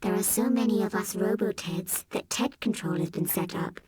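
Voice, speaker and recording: A woman voicing a gentle, resolute robot teddy bear in an audiobook story, soft and husky, speaking slowly and earnestly. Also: medium pitch. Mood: solemn. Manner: slow, earnest, deliberate.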